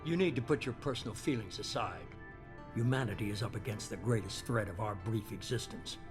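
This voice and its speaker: An actor playing a calculating, self-assured leader of a secretive organization, speaking in a measured, persuasive way in a video game. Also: male voice, lowish voice, lightly acted. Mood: cool, controlled. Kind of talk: video game cutscene acting. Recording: music behind the voice.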